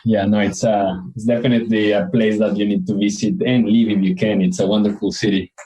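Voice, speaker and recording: A man's voice: lowish voice, level tone, fairly quick, dark tone, rough recording. A man from Ecuador with an Ecuadorian accent, a company co-founder answering interview questions in an earnest, reflective way. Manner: earnest, reflective, frequent restarts.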